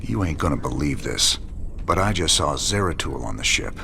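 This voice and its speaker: An actor playing a weary, gruff, wry space rebel commander in a game, with a Southern US accent and folksy, laconic delivery. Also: male voice, very deep voice, expressive, normal speed, slight background noise, exaggerated voice. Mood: dismissive. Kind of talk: dramatic sci-fi game cinematic acting. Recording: loud music behind.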